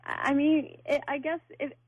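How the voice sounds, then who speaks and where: A woman's voice: high-pitched, flat delivery, measured pace, a little hiss or hum. A woman on a phone call to a radio show, talking casually in a hesitant, flustered way. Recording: tinny phone line on radio.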